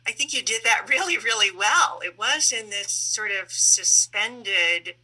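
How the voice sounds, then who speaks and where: Very bright-voiced woman moderating. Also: medium pitch, animated, unhurried, poor audio. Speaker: interviewer, panel moderator. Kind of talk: conversational interview questions.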